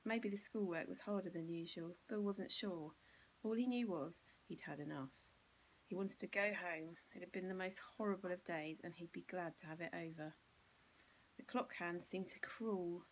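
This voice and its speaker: A woman's voice: on the low side, moderate pace, slight background noise, rough recording. A woman with an English accent reading a children's storybook expressively, as a teacher would to pupils.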